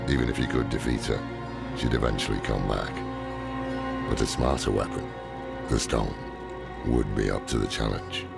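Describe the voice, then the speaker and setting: An actor playing a grizzled old scholar-warrior mentor in a game cutscene, gruff and measured, with a gravelly voice. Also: male voice, a bass voice, full character voice. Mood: grave, firm. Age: older. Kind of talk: dramatic video game cutscene acting and narration. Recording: music under the voice.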